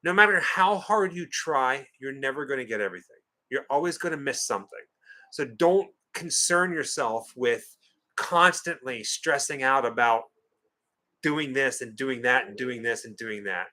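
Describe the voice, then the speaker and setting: A man's voice: medium pitch, moderate pace, sharp tone. A man in his forties with an American accent, co-hosting a casual livestream and joking while he reads the chat. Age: 40s. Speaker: co-host of a livestream watch party.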